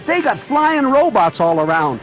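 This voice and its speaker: A man's voice: extremely high pitch, very animated, unhurried, soft background music, full character voice. A man voicing a zealous old small-town patriot in a video game, folksy, rambling and fervent. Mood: excited, fervent.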